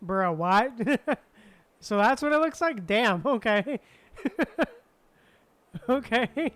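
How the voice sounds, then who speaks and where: A woman's voice: fairly high pitch, animated, very slow, a bit bright. A woman streaming a game, reading dialogue aloud and reacting in a casual way. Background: game background music.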